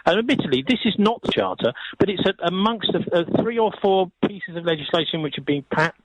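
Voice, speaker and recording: A man's voice: high-pitched, animated, brisk, noisy background. A British man giving conversational political commentary as a radio guest, explaining points in a discursive way.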